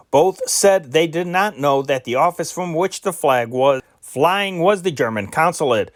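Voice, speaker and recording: A man reads old newspaper dispatches aloud in a slightly raised register. His delivery is measured and unpolished, and he sometimes stumbles and corrects himself. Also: fairly high pitch. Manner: amateur, measured reading with occasional slips and self-corrections. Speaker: news reader.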